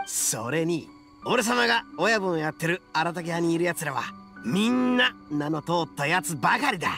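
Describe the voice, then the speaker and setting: A brash young oni boss in an anime game. He brags in a bright, forceful voice with big swoops, rough-spoken, over faint music. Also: fairly high pitch, very animated, normal speed, exaggerated voice. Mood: boastful. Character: loud boastful young male oni gang boss. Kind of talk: Japanese anime game voice acting.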